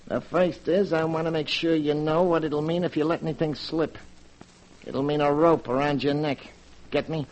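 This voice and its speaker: A cold, threatening gangster from a 1940s radio play, acted out by a man, talking in clipped street slang. His voice sits a little high, and the old broadcast recording has a muffled sound. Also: fairly even intonation, moderate pace, a little mellow, close to an everyday voice. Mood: menacing. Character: menacing male gangster blackmailer, cold and threatening.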